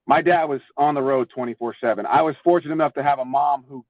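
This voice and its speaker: A man's voice: medium pitch, fast talker, dry recording. A young man from Florida chatting casually as a podcast guest, telling stories informally and often repeating words. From Florida, United States. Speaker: podcast guest, former baseball player. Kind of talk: casual chat, storytelling. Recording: tinny, phone-like call audio.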